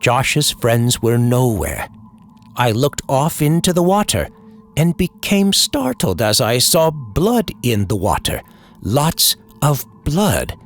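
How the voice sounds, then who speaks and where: A man's voice: mid-range voice, sing-song, slow pace. A man reading a scripted scary story in a theatrical old-time radio horror style over background music. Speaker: horror story host and narrator.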